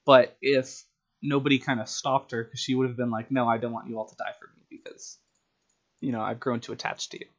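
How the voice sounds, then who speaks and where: Male storyteller, average pitch, casual tabletop gamer retelling plot ideas with acted asides. Muffled, lo-fi audio. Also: little pitch movement, a little fast. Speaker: storyteller and tabletop game player. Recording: dull, lo-fi sound.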